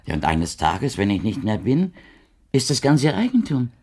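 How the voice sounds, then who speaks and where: An actor playing a gentle, insistent old blind man who speaks in a flowery, poetic, persuasive way in a German radio play. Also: medium pitch, very animated, normal speed, breathy edge. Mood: gently hopeful. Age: older.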